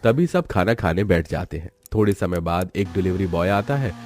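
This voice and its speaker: A man's voice: low voice, lively intonation, normal speed, darkish tone. A man reading scripted narration as the storyteller of a moral family story.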